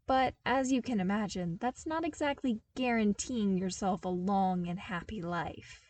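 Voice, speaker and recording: A woman voicing an earnest, wry young man from a harsh desert world in a story reading, explaining with animated emphasis. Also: medium pitch, unhurried, natural voice. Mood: sardonic, animated.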